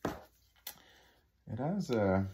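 A man's voice: fairly low pitch, big pitch swings, very slow, background noise. A man with a Québécois French accent, a native French speaker, casually talking through an unboxing with many fillers and restarts, filmed on a phone over a living-room table. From Quebec. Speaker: hobby unboxing vlogger.

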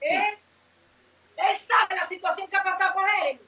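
An indignant Dominican woman in a heated phone argument. Her voice is high and piercing, and she repeats herself emphatically over a dull phone line. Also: normal speed, pushed and bright.

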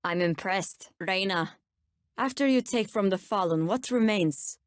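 An actress with a Turkish accent voicing a menacing, sardonic nightmare-wielding bounty hunter in a video game, speaking with cool, ominous deliberation. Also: female voice, mid-range voice, unhurried, dry recording, clean studio sound, lightly acted. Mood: wry, knowing. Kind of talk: video game character voice lines.

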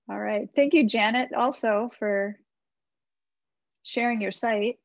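Creaky-voiced American woman, encouraging advisor. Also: a little high, no room sound. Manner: encouraging, conversational, thinking aloud. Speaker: webinar presenter, website marketing consultant. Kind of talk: informal website critique and advice. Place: small indoor room with bookshelves. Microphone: computer mic on a video call. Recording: slightly dull video-call audio.

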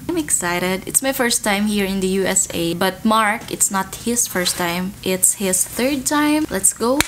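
A woman's voice: medium pitch, a little hiss or hum. A woman, chatting to camera in a hotel room as a travel vlogger and laughing between sentences. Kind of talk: casual vlog to camera. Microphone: camera at arm's length.

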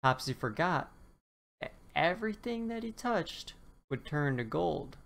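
A woman reading a children's bedtime story aloud in an expressive, deliberate storytelling manner. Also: deep voice, vocal fry.

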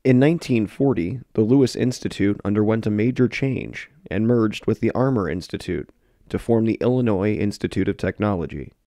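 A man narrating a short history film in a measured, scripted way. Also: lowish voice, warm tone, dry recording, studio quality. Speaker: documentary narrator.

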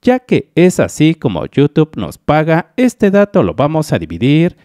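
Man from Mexico, explainer voice-over; animated pitch, dry close sound, methodical walkthrough. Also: unhurried, no echo. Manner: methodical, addresses viewers as dear friends. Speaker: YouTube channel analyst. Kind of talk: screen-recorded explainer voice-over.

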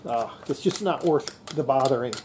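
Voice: mid-range voice, moderate pace. An older radio repairer giving casual, folksy hands-on commentary while working at a bench in an indoor workshop. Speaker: radio repairer presenting a restoration. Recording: noisy workshop camera audio with clicks.

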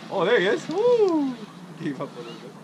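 A man guiding a sturgeon fishing trip from a small river boat, coaching the angler in an easy, relaxed way. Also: sits high, brisk, lo-fi sound. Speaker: fishing guide. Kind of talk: casual coaching chat. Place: small open boat on a wide river outdoors. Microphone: action camera on the boat. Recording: loud wind and water noise.

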